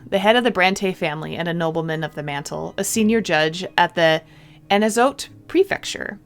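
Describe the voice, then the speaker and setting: A woman's voice: lowish voice, expressive, normal speed, clean tone. A woman doing a let's-play of a visual novel, reading the text aloud expressively and adding casual, chatty comments. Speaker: game streamer.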